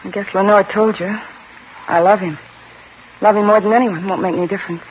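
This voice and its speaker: A woman's voice: medium pitch, fairly even intonation, fairly quick, a little hiss or hum, natural voice. An actress playing a distraught young woman in love with a robbery suspect, in 1950s radio drama style. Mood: pleading. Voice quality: emotional, pleading. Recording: muffled old radio broadcast.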